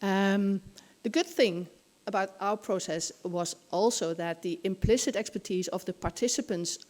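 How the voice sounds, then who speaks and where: A woman's voice: mid-range voice, normal speed, darkish tone. Older female academic presenter from the Netherlands, mid-range voice, feeling her way through her points at a podium. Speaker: conference presenter. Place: hotel conference room. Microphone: podium gooseneck microphone.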